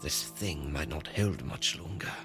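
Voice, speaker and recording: A man's voice: very deep voice, unhurried, loud music behind the voice. A man playing a curt, secretive maintenance worker trapped in an elevator, speaking in a terse, guarded way in an audio drama. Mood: grim, urgent. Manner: terse, weighted, guarded delivery.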